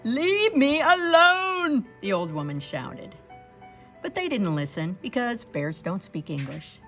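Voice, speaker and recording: A woman reading a picture book aloud to children in an expressive storytelling style. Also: sits low, a little slow, faint music behind. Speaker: storybook read-aloud reader.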